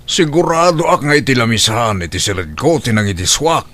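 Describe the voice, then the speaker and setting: A man's voice: medium pitch, very animated, a little fast, sharp tone. A man acting in an Ilocano radio drama as an insistent, suspicious older father, with an Ilocano accent. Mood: worried, insistent. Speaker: drama actor.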